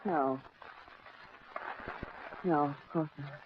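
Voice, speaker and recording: A woman playing a frightened, submissive young wife in old-time radio melodrama style, halting, anguished and pleading. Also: deep voice. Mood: fearful, subdued. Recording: tinny, noisy old radio recording.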